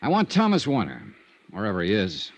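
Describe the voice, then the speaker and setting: An American actor playing a self-assured, persistent insurance investigator in a 1950s radio drama, speaking in a clipped, dry, probing way. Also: male voice, on the low side, wildly swooping pitch, a little fast. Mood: pressing. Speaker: lead actor and narrator. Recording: noisy vintage radio recording.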